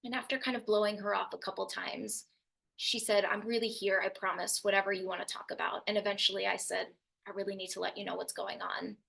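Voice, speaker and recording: A young woman shares her own story as a youth mental health speaker, in an earnest and steady way. Her voice is bright and sharp, with a gravelly fry at the ends of phrases. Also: medium pitch, fast. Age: young adult. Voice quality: loud voice. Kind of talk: personal story told to an audience.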